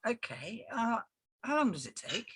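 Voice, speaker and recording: A woman playing a quiet, fresh-faced man in his twenties, hesitant and bemused, in a script reading. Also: a little high, very animated, normal speed, breathy edge, no echo, clean studio sound, exaggerated voice. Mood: hesitant, polite. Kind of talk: script table-read acting.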